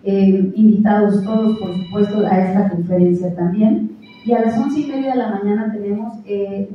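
A woman in her thirties with a Mexican accent announces event plans at a press conference, speaking formally and steadily with frequent "eh" pauses into a handheld mic at a panel table. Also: medium pitch, slight background noise. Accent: Mexican Spanish. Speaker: chamber president announcing an event. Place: large indoor conference hall. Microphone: handheld mic at a panel table, filmed from a far camera. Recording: echoey hall, distant and dull.

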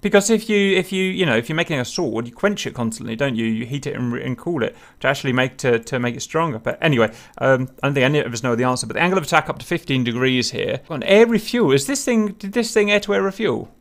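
Guy on a headset voice chat, lots of ums, wondering out loud about plane bits. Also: mid-range voice, expressive, fast talker, vocal fry, hardly any pauses. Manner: thinks aloud, many fillers and wondering questions. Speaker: host leading a group discussion. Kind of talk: casual group chat over a cockpit picture.

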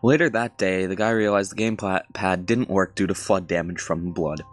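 A man's voice: deep voice, normal speed. A man reading a comic story with wry, mocking asides, over light music. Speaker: reader.

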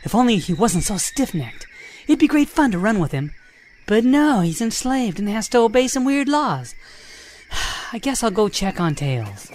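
A woman's voice: mid-range voice, very animated, normal speed, darkish tone. A woman voicing a cocky, carefree young male hedgehog hero with breezy, slangy delivery in an amateur radio drama. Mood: musing.

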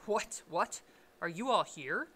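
A woman reading as a brisk, proper gentleman lawyer. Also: lowish voice, big pitch swings, full character voice. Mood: peevish, formal. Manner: brisk, formal, questioning, peevish.

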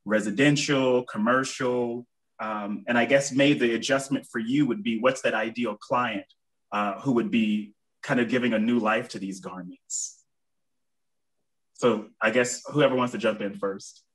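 A man's voice: mid-range voice, steady tone, unhurried, poor audio. A young man hosting a virtual Q&A from home, casually reading out audience questions. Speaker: moderator. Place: home room with plants. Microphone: video call.

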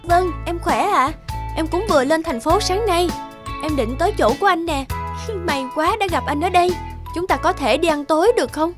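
A woman's voice: extremely high pitch, moderate pace, music in the background. A woman voicing a sweet, hopeful young country woman in a cartoon drama. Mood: cheerful, hopeful.

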